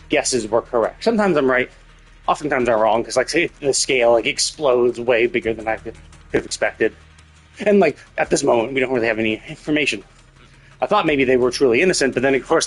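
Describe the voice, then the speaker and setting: A man doing casual live game commentary, speculating and thinking out loud, with some casual swearing. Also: mid-range voice, husky. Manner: casually profane. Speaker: game livestreamer.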